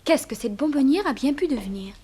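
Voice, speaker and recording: A woman's voice: sits high, expressive, a little fast, mellow, a little hiss or hum. A woman playing a genteel, trusting young lady in a 1960s French radio drama, refined and emotional. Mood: polite, puzzled.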